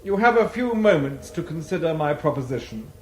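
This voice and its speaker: An actor as a haughty, high-voiced villain scientist. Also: male voice, lively intonation, fairly quick, darkish tone, natural voice. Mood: cold, confident. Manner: formal, haughty diction. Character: cold, haughty male scientist villain, ruthless and desperate. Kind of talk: radio drama acting. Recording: echoey space with faint background noise.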